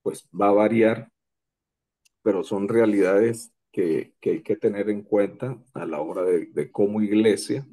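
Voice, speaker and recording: Slow, gravelly man, halting speech. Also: fairly low pitch, warm tone. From Colombia, raised in Venezuela. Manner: hesitant, many restarts. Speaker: conference participant. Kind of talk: slow, halting reflective remarks.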